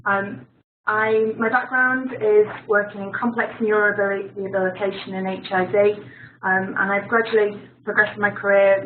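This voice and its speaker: An upbeat British woman introduces herself in a chatty webinar talk, dotted with ums. Her voice rings in a roomy space and comes through a thin, phone-like line with faint hiss. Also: mid-range voice. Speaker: clinical research physiotherapist. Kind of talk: webinar presentation. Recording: echoey room over a phone-like line.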